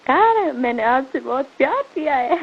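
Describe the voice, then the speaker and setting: A woman's voice: high-pitched, animated, unhurried. A woman with a Marwari Rajasthani accent chatting casually and intimately over the phone. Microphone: phone line. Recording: tinny, narrow-band phone call recording.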